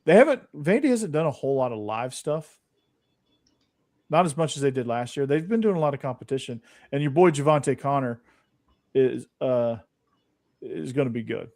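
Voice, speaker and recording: A man's voice: mid-range voice, expressive, moderate pace, pauses often, darkish tone. A man from the American South co-hosting a casual sports podcast, easygoing and joking. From Southern United States. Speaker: podcast co-host.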